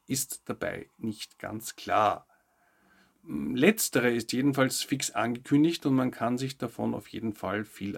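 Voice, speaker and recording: A man's voice: mid-range voice, gravelly. A man hosting a news update, reading scripted narration with short commentary. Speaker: host of a weekly news update show.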